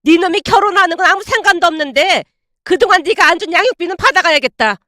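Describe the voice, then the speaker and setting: A high, loud voice of an enraged middle-aged woman, acted by a female narrator. She scolds with open contempt, as in a storytelling drama. The sound is dry and close. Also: animated, fast, no echo, full character voice. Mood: furious, scornful. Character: furious middle-aged former mother-in-law, loud and scornful.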